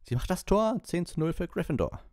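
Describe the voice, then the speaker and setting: Man voicing an excitable high-pitched schoolboy match commentator. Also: fairly quick, no echo, studio quality. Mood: excited. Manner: breathless, exclamatory play-by-play.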